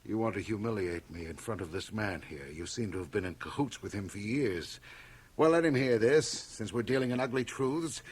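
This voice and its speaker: A man's voice: fairly low pitch, moderate pace, breathy edge. An actor playing a self-important older businessman in a radio drama, brusque, blustering and dismissive. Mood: defensive, indignant. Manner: brusque, pompous. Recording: cassette transfer of 1980 radio drama.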